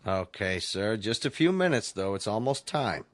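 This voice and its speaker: A man's voice: lowish voice, very animated, normal speed, no room sound. An actor playing an easygoing, courteous museum guard, an ordinary working man in a radio drama, casual and polite. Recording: dry studio voice over music.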